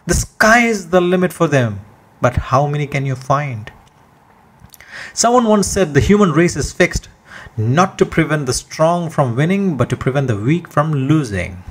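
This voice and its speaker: A man reading a scripted motivational text as narrator, with an emphatic, deliberate oratorical delivery. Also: fairly high pitch, expressive, breathy edge.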